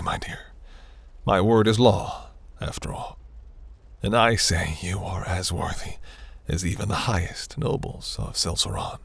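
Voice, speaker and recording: A man playing a seductive, imperious demon prince in a fantasy audio roleplay, speaking in a silky, commanding, breathy murmur. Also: a bit bright, no echo, heavily acted. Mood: seductive, proud. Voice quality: low, gravelly, breathy.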